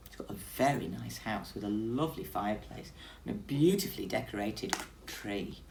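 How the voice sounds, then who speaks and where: A woman's voice: rumbling low, lively intonation, moderate pace, creaky, a little mellow, slight background noise, poor audio. A woman teacher reading a story aloud expressively, speaking directly to young children.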